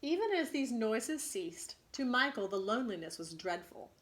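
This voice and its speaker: A woman teacher reading a story aloud expressively to students, pausing to ask them questions. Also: a little high, sing-song.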